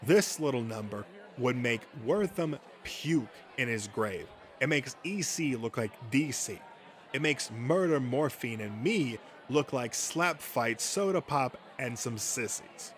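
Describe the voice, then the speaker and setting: A man's voice: medium pitch, expressive, slow. A man voicing a pushy, sleazy comic book dealer in a comic dub, rolling through his sales patter with relish. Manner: salesman patter, relishing each item in lists. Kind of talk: comic dub character acting.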